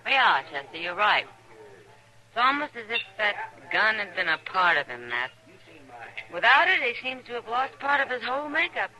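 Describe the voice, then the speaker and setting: A sardonic, world-weary saloon woman in a vintage radio western. Her plain-spoken delivery moves freely up and down with a sharp, bright edge. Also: on the high side, sing-song, normal speed, full character voice, poor audio. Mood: wry, reflective. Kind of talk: 1950s radio western acting. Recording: old western radio broadcast recording.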